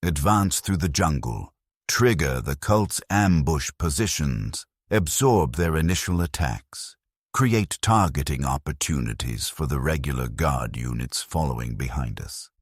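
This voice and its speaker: A man narrating a dramatic scripted first-person war story as a grim, war-weary soldier, in a grave, measured, deliberate delivery. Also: very deep voice, animated, breathy edge, dry recording, clean studio sound. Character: grim war-weary penal legion soldier recounting battles. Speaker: narrator of a fan lore channel.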